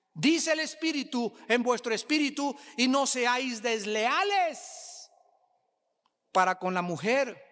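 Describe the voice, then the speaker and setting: A man's voice: very high voice, fairly bright tone. A man with a Mexican accent preaching a sermon emphatically, repeating phrases for effect. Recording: echoing hall with long reverb.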